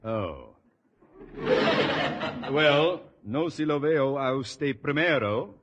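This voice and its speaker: A man's voice: mid-range voice, sing-song, extremely slow, fairly bright tone, a little hiss or hum, heavily acted. A man playing a pompous, blustering middle-aged school principal in a 1950s radio sitcom, firing off haughty insults.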